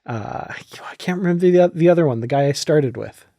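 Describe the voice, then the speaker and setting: A man's voice: on the high side, expressive, brisk, dark tone, no echo, clean studio sound. A man hosting a podcast in a casual, chatty, enthusiastic way. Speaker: podcast host.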